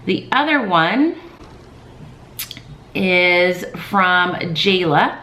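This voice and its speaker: A woman in her thirties, a teacher, chatting casually in a calm, soft-spoken way with many fillers. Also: fairly low pitch, very slow. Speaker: teacher vlogger. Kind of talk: casual vlog talk. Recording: echoey room.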